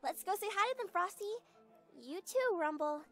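Very high, perky young pony princess voiced by a woman. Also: lively intonation, normal speed, fairly bright tone, faint music behind. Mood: excited greeting.

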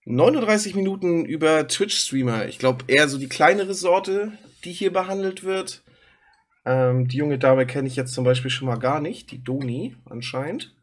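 A man's voice: on the high side, normal speed. A man streaming from home on a headset, chatting casually to his viewers while reacting to a video. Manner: chatty, talks to his chat and pet. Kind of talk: casual live-stream commentary.

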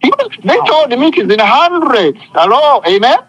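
Very high-pitched, emphatic male preacher. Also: big pitch swings, moderate pace, fairly bright tone, slightly rough, rough recording. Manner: emphatic, repetitive, seeking audience responses. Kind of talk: impassioned call-and-response sermon. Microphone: phone conference call.

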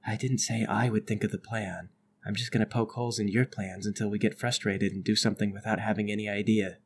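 A man's voice: on the low side, flat delivery, fast. A man speaking in a dry, wry way as a player in a tabletop game. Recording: faint background noise.

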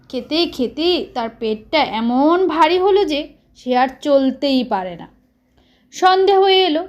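A woman narrating a children's story in an expressive, dramatized way. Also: sits high.

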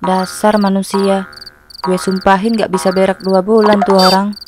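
A woman's voice: medium pitch, level tone, moderate pace, background noise, lightly acted. A woman voicing a sassy, grumpy female ghost in a comedic cartoon, with music and night insects behind her. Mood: annoyed, scolding. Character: sassy, grumpy female long-haired ghost, flirty and vengeful.

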